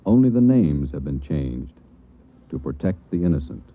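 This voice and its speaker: A man making a solemn, measured opening announcement in 1940s radio style. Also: extremely low pitch, big pitch swings. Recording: tinny old radio broadcast.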